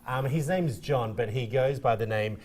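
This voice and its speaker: A man's voice: medium pitch, moderate pace, a little mellow. A man hosting a morning TV show, chatting casually and teasing himself with easy laughs. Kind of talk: casual studio chat.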